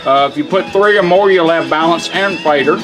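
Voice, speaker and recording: Male American product demonstrator, slightly high voice, folksy and plainspoken, guitar music playing behind. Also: a little fast. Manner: plainspoken, folksy step-by-step instruction. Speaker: product demonstrator for a radio restoration shop. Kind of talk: hands-on product demonstration, casual. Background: guitar music from a radio.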